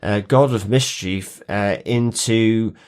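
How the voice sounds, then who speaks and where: A man's voice: fairly low pitch, takes it slowly, darkish tone, smooth. A man co-hosting a podcast, discussing television in a reflective, qualifying way. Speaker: podcast co-host.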